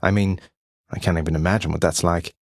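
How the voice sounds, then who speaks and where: A man's voice: sits low, fairly even intonation, brisk, vocal fry, no echo. A man playing a young, rebellious underworld prince in a video game, with a British accent and a dry, polite, conversational manner. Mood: curious, earnest. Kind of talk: video game character dialogue.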